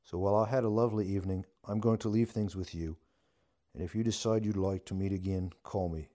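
Audiobook role: male reader as a plain-spoken young man, weary, guarded, caring, low-voiced. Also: fairly even intonation, brisk, dark tone, natural voice. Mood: frank, resigned. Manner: frank, resigned, plain-spoken.

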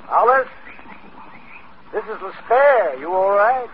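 Contented little spaceman, high swoopy voice, wistful, old dull radio recording. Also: lively intonation, takes it slowly, slight background noise. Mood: anxious calling. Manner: wistful, easygoing, reminiscing. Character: contented, carefree little spaceman resigned to death. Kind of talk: 1950s radio drama acting.

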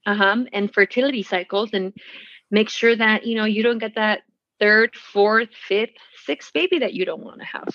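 A woman's voice: mid-range voice, moderate pace, no room sound. Chatty woman co-host, dry and dull sound. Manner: chatty, filler-heavy, laughs easily. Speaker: podcast co-host. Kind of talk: casual chat.

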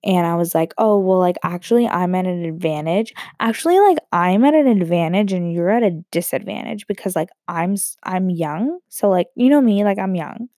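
A woman's voice: lowish voice, normal speed, hardly any pauses, no room sound, clean studio sound. A young woman telling a personal story in a rambling, joking way. She is fighting a cold, so her voice sounds throaty. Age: young adult. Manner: animated, self-interrupting, joking asides.

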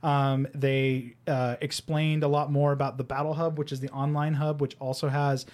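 A man's voice: fairly high pitch, flat delivery, darkish tone. A man co-hosting a casual podcast, presenting gaming news with joking asides. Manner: joking, quick asides, then explains news in a run. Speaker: podcast co-host.

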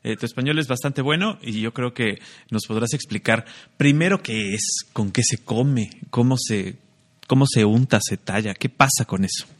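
A man's voice: mid-range voice, moderate pace. Male co-host, easy playful manner, casual announcer chat. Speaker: podcast co-host.

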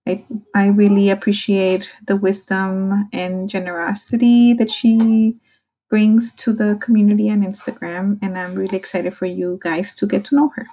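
A woman's voice: medium pitch, vocal fry, dark tone, poor audio. A woman hosting a podcast, introducing and interviewing in a casual, somewhat hesitant conversational way.

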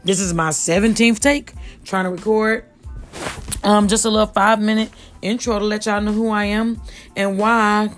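Raspy-voiced woman hosting a chatty podcast. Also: mid-range voice, steady tone, moderate pace, soft background music. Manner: slangy, chatty, addresses listeners as y'all. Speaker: podcast host. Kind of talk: casual rambling podcast monologue. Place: at home.

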